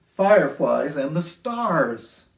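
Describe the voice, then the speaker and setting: A man hosting a children's storytime, reading picture books aloud in an expressive, sing-song way with drawn-out phrases. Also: a little high, creaky. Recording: roomy, echoey indoor sound.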